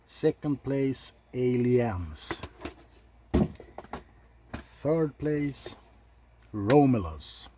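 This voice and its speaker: Slow-talking, low-pitched man, casual ASMR review. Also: darkish tone, slight background noise. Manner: informal, rambling, with mild swearing. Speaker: video host reviewing films. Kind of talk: ASMR film ranking, casual chat.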